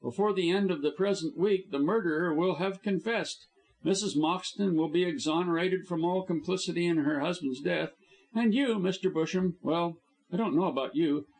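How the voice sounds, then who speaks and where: A man reading an audiobook, voicing a calm, measured male doctor. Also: high voice, pitched above the performer's own voice, low-quality recording.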